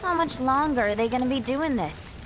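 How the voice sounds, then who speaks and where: A woman's voice: high voice, lively intonation, brisk. An actress playing a scornful, impatient, sassy young woman in a video game. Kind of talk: video game cutscene acting. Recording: music behind the voice.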